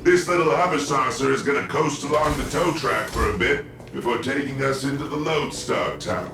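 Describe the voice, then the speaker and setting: An actor playing an easygoing young patrol guide with a laid-back, breezy way of talking. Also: male voice, medium pitch, a little fast, vocal fry, reverberant, recorded from a distance, over music, lightly acted. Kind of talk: game voice acting.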